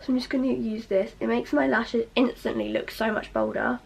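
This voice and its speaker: A young woman with a slightly hoarse, fading voice, talking through a casual makeup tutorial in a chatty, rambling way. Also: fairly high pitch, sing-song, a little fast. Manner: chatty, rambling with many fillers. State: losing her voice, slightly hoarse. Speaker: beauty vlogger.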